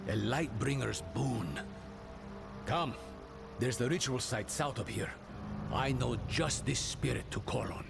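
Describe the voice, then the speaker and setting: An actor playing a wary, sardonic elder spirit-priest guide in a video game, with a dry, weighty delivery. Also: male voice, mid-range voice, animated, unhurried, gravelly, loud music behind the voice. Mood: grave. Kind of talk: video game cutscene acting.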